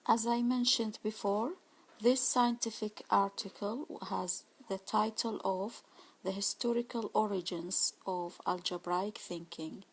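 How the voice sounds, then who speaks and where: A woman from Iraq giving a prepared academic presentation, halting often with fillers as she reads. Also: mid-range voice, steady tone. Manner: halting, many uh fillers, careful delivery. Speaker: student presenter. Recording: echoey room from a distance with noise.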